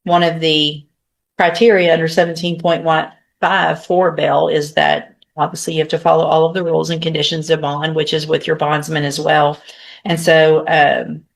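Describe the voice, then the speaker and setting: A woman judge speaking officially in court, pausing often as she explains her rulings. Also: sits low, level tone, creaky. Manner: official, with hesitant pauses. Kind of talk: courtroom ruling, spontaneous speech.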